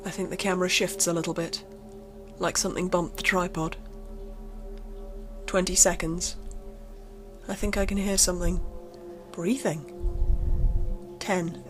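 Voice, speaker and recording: A woman's voice: on the low side, level tone, unhurried, long pauses. A woman reading a first-person horror story aloud in a dramatic, suspenseful, acted-out way. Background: music.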